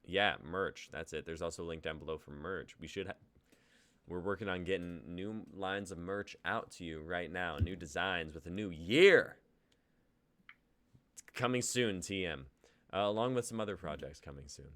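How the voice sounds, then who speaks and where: A man's voice: deep voice, moderate pace, a bit bright. A man in his twenties hosting a livestream, talking casually and jokily with slangy, informal phrasing. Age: 20s. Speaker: livestream show host. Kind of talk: casual group chat and sign-off.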